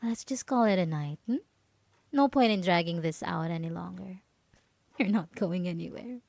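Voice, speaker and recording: A woman playing a smug, possessive, manipulative yandere roommate in an ASMR roleplay, taunting deliberately and at times slipping into a whisper. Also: on the low side, creaky, darkish tone, dry recording. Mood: possessive, certain. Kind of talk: ASMR roleplay monologue, acted.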